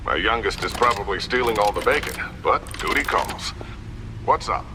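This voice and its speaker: A man's voice: fairly low pitch, lively intonation, normal speed, lightly acted. An actor playing an amiable, slightly flustered middle-aged male inventor in a video game, casual and hesitant. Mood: cheerful. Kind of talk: naturalistic video game acting. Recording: clearly noisy background.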